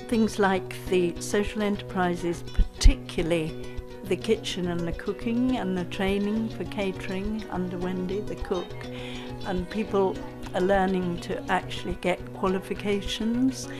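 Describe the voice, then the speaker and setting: An older woman, in her sixties or beyond, talking conversationally in an interview. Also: medium pitch. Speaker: retired volunteer being interviewed. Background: plucked guitar music.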